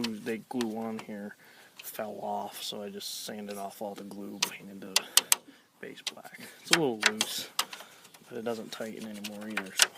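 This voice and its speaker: A man giving a casual, offhand walkaround in colloquial speech, low-key and gravelly. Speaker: vlogger showing his pickup trucks. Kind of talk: casual chat, walkaround show-and-tell. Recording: noisy outdoor handheld camera recording.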